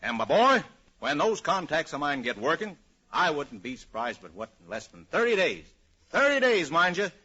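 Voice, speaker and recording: An actor playing a blustering, boastful wheeler-dealer, rambling and self-important, in an old radio comedy. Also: male voice, a little high, very animated, moderate pace, sharp tone, breathy edge, full character voice. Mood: confident. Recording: muffled old radio recording.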